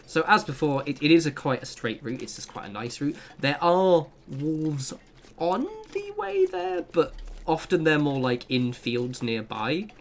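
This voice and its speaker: A man's voice: fairly high pitch, big pitch swings, measured pace. Chatty man narrating gameplay. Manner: chatty, rambling, self-deprecating asides. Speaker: gaming channel host. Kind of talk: casual gameplay guide commentary.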